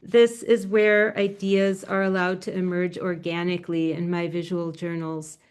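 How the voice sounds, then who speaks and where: A woman giving a talk as a guest speaker over a video call, speaking with a quiet, soft-spoken voice. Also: fairly low pitch, level tone, a little slow, smooth. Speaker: guest speaker, graphic novelist.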